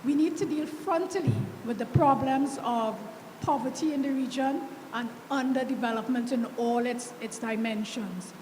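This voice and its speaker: A woman's voice: sits high, a little mellow. Caribbean woman speaking from the floor. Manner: polite, measured, thoughtful. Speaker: audience member asking a question. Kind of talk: question from the floor. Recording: echoey hall with audible background noise.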